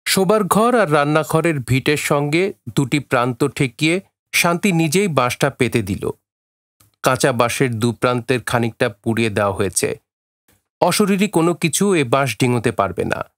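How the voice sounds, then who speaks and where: A man narrating a scripted audio drama story in a measured, literary reading style. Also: mid-range voice, animated, fairly bright tone, no echo, studio quality.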